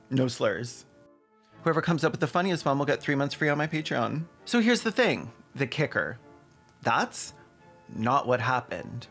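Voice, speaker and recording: A man with a mid-pitched voice hosts a comedic video essay. His delivery is sarcastic and irreverent, scripted but full of casual asides, with faint music underneath. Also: fairly bright tone.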